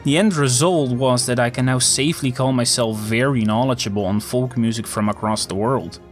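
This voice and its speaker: A man's voice: mid-range voice, normal speed, soft background music. A man whose first language is Dutch, narrating a scripted video as the channel host and lifting his voice eagerly at the start of phrases.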